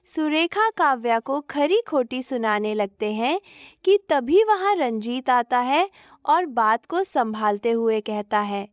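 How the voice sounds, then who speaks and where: A woman's voice: on the high side, expressive, takes it slowly, dry recording, clean studio sound. A woman narrating a scripted moral story as its storyteller.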